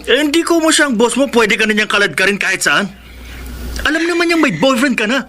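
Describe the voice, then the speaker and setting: An actor playing a jealous, hot-tempered young boyfriend in a classic radio drama, angry and forceful. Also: male voice, very high voice, expressive, fairly quick, a little hiss or hum, exaggerated voice.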